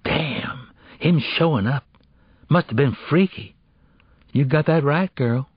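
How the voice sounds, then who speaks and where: A man voicing a blunt, street-smart teenage girl in an audiobook, slangy and challenging, quick with questions. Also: a little high, slightly rough, no echo, muffled recording, voice pushed up. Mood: surprised.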